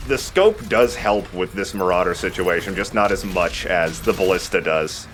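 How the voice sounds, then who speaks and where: Low-voiced man doing casual game commentary. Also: animated, moderate pace, fairly bright tone, a little hiss or hum. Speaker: let's play host playing the game. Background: video game sound.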